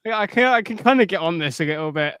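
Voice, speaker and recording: A man with a smooth, clear voice, pitched a bit high. He chats casually as a podcast co-host, recorded dry and close. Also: expressive, fast, a bit bright, dry recording. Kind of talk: casual pop culture podcast chat.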